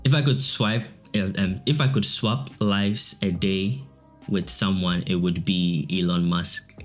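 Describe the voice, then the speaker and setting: A man's voice: low voice, steady tone, unhurried, faint music behind. A young man with a Ghanaian accent, chatting easily as an interview guest and laughing often. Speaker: guest, digital artist. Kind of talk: casual interview chat.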